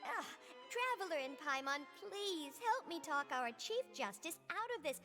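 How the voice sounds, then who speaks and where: A fretful young female court aide, very high voice, lively swoops, soft music under. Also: normal speed, exaggerated voice. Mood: exasperated. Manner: fretful, protesting. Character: fussy young female court assistant, exasperated. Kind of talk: game voice acting.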